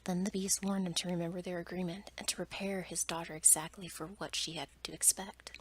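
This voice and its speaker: A woman reading a bedtime story aloud in ASMR style, in a soft, breathy whisper with careful, hushed delivery. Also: fairly low pitch, little pitch movement, brisk, slight background noise.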